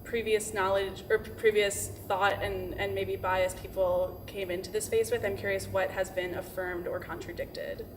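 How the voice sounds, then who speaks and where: A woman's voice: mid-range voice, level tone, moderate pace, few pauses. A woman tentatively posing questions in a casual group discussion, inquisitive and informal. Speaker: participant steering discussion questions. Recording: distant mic with clear noise.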